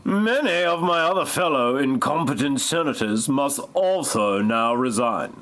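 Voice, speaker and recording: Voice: mid-range voice, lively intonation, unhurried, background noise, lightly acted. An actor playing a large, jolly senator resigning in shame, in an amateur parody audio play. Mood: contrite.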